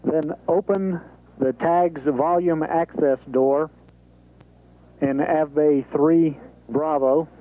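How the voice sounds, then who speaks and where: A man's voice: fairly high pitch, fairly even intonation, slow, phone-quality sound. An American man at mission control relaying procedures over radio in a businesslike, step-by-step way. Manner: clear step-by-step instructions, businesslike. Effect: radio transmission. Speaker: capsule communicator at mission control. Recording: thin, band-limited space radio link.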